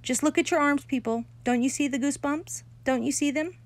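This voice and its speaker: A woman's voice: medium pitch, fairly even intonation, natural voice. A woman voicing a spoiled, showy little rich girl who gushes and drags out her words. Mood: gushing. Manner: gushing, preening, drawn-out.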